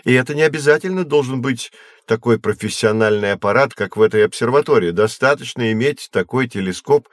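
A man playing a patient, learned astronomer who guides children in an educational audio drama, measured and didactic. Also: mid-range voice, no echo, studio quality, lightly acted. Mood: explaining. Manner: measured, didactic, clearly enunciated.

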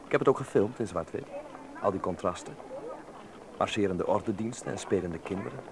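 An actor playing a bantering, slightly wistful young artist in a Dutch radio play, chatty in manner. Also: male voice, low voice, fairly quick, vocal fry, background noise. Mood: wistful, admiring.

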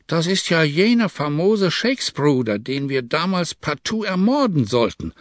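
An eager nobleman bursts out in a raised, high voice, as a male narrator puts him on. He exclaims rather than talks, every phrase pushed upward. The reading sounds close and dry. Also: big pitch swings, moderate pace, dry recording. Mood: excited. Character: excitable upper-class English lord, adult man.

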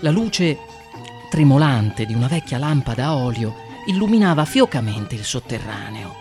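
A man reading a fairy tale aloud in expressive storytelling narration. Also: mid-range voice, normal speed, soft background music. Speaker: guest reader of fairy tales.

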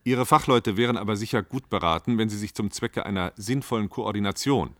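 A man's voice: on the low side, a little fast, fairly bright tone, no room sound, close to an everyday voice. An actor playing a stiff, formal and cautious male bureaucrat in a radio drama panel.

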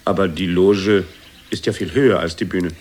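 Radio drama ageing ladies' man: low, husky, plaintive, cowed and apologetic son. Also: steady tone, moderate pace, darkish tone. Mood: puzzled. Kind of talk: German radio play acting.

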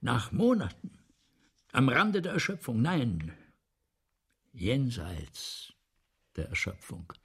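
A vain, self-pitying man of letters in a radio drama. His husky, creaky voice moves slowly and deliberately, with wide melodic swings. He sounds haughty, weary and full of scorn. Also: medium pitch, huge pitch swings, fairly bright tone, full character voice. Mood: self-pitying, precious. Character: vain, embittered male playwright scorning his audience. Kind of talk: theatrical German radio drama monologue.

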